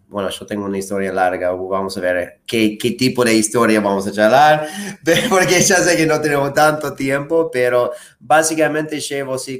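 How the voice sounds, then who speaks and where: A man with an American accent, a native English speaker, chatting eagerly as an interview guest, with many fillers. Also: fairly low pitch. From United States. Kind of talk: casual interview talk.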